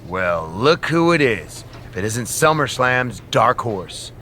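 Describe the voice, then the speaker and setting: An actor playing a scheming wrestling brand manager who speaks in a smug, needling, conspiratorial way. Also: male voice, medium pitch, very animated, slow, pushed and bright, slight background noise, full character voice.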